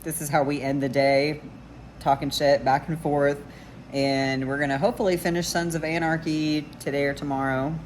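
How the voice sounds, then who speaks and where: A woman with a deeper-than-usual voice, vlogging in casual chat; blunt, and she swears casually. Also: level tone, normal speed, slight background noise.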